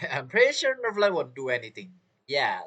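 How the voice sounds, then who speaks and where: Lively male game streamer. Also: mid-range voice, normal speed, husky. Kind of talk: casual game commentary. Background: game music.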